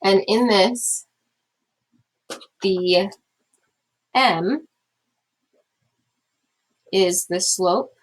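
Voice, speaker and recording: Scratchy-voiced young woman, math teacher, steady lecture, casual fillers. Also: mid-range voice, drawn-out words, pauses often. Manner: steady explanatory pace with fillers. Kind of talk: recorded classroom lecture.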